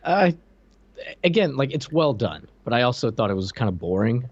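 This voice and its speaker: Animated man co-host, dry close sound. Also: mid-range voice, moderate pace, breathy edge, no echo, lo-fi sound. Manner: joking, irreverent. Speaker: podcast co-host. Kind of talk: casual review chat. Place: dim room at home, video call.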